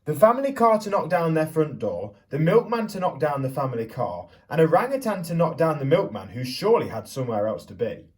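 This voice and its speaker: A man reading a picture book aloud to young children as a teacher would, theatrically, pausing to put questions to them. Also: on the high side, sing-song, far from the mic. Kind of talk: expressive children's storybook reading with questions to listeners.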